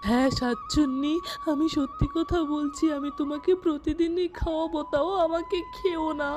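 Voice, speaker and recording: Woman voicing a high, pleading, cowardly village man. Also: steady tone, moderate pace, over music, natural voice. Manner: pleading, exclaiming. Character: cowardly village fruit-grower man begging for his life. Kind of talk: children's cartoon voice acting.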